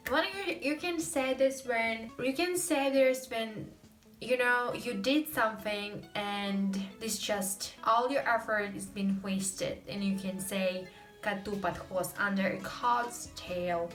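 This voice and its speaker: A woman's voice: fairly high pitch, takes it slowly, fairly bright tone, roomy sound, far from the mic, soft background music. A young woman with a Russian accent, hosting a casual language lesson, explaining chattily with many fillers. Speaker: host.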